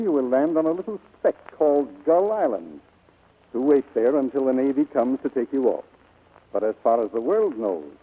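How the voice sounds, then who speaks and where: Actor as grave navy admiral, muffled old radio. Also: male voice, mid-range voice, dark tone, close to an everyday voice. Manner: formal, deliberate, authoritative. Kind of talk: 1940s radio drama acting. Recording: muffled vintage 1940s radio recording.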